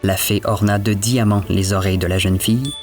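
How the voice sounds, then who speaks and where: A male storyteller narrates a scripted fairy tale. His voice sits fairly low, and soft music plays behind him. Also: steady tone, brisk.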